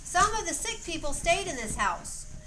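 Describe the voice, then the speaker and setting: High-voiced Southern woman narrating in an echoey room. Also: big pitch swings, moderate pace, crowd noise. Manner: breaks off to coach and prompt young performers. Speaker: narrator directing a children's dramatization. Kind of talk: reading a story aloud with off-script stage directions. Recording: echoey room, noisy amateur recording.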